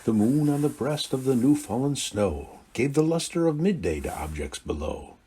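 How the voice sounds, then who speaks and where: Husky guy doing a storybook read-aloud, voice jumps all over, hushed then booming. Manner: dramatic swings from hushed low lines to loud bright calls. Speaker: storyteller reading a Christmas poem aloud.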